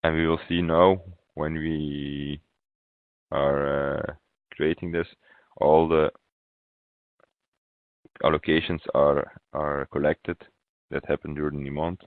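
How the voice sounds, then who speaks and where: Very deep-voiced man on a thin phone-like line. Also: level tone, dry recording. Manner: halting, pausing mid-phrase. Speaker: software consultant presenting a webinar demo. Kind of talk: instructional software walkthrough. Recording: thin, phone-like webinar audio.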